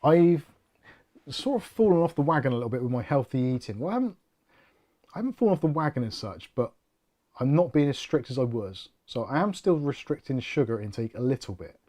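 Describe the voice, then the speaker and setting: British guy on a chill livestream, mellow voice, jokey, reads out comments. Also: medium pitch, sing-song, brisk. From United Kingdom. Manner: rambling, jokey, self-deprecating. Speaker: host of a tech channel livestream. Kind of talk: casual livestream chat, reading viewer comments.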